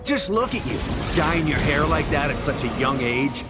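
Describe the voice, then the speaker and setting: A man's voice: on the high side, expressive, moderate pace, lightly acted. An actor playing a scornful young male local in a video game, speaking in a sneering, taunting manner. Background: game music.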